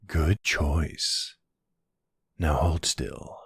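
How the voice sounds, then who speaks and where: A man's voice: very slow, fairly bright tone, dry recording, full character voice. A man playing a smug, flirty, teasing vampire in an intimate ASMR roleplay, with a playful, taunting drawl close to the microphone. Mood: coaxing, flirty. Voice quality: deep, gravelly, husky. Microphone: close studio-style microphone, dry.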